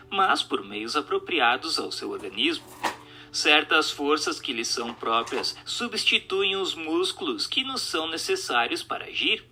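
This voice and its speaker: A man's voice: medium pitch, sharp tone. A man narrating a scripted audio drama in a formal, declamatory manner. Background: music.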